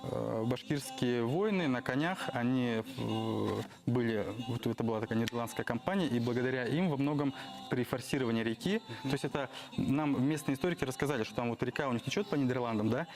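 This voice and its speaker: A man's voice: medium pitch, a little fast. A man who leads a folk band, answering studio interview questions in detail, laughing often. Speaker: band leader.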